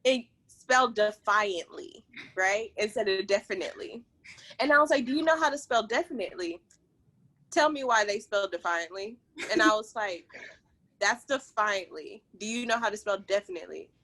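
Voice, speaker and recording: Host donna, accento liberiano, sfogo scherzoso in videochiamata. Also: female voice, medium pitch, expressive, normal speed, bright, forceful tone, poor audio. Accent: Liberian English. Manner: ranting, laughing, playful. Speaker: podcast host. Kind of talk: casual group chat over a video call. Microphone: video call.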